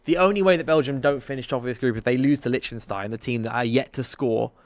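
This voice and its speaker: A man's voice: mid-range voice, fast, no echo. Chatty man on a live stream. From London. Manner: chatty, rambling with restarts, addresses viewers. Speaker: podcast co-host. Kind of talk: casual sports chat on a live stream.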